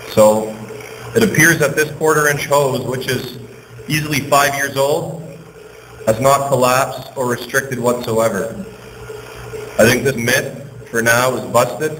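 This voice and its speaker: A man working as an HVAC technician, casually walking through a hands-on test with a relaxed, plain-spoken manner and frequent ums. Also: medium pitch. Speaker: HVAC technician and channel host. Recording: reverberant space with faint noise.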